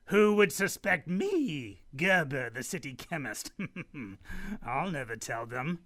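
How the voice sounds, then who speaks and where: A cackling mad chemist. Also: male voice, a little high, big pitch swings, slow pace, fairly bright tone, heavily acted. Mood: sly gloating. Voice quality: cackling, swings from whispers to shrieks. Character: mad male city chemist poisoner, gleeful and paranoid. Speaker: voice actor. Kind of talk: old-time radio drama acting.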